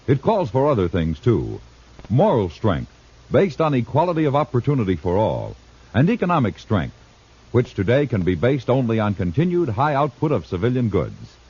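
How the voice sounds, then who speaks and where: A man's voice: medium pitch, huge pitch swings, moderate pace, darkish tone, slightly rough. A man announcing formally and emphatically in a 1950s radio style. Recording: muffled old radio recording.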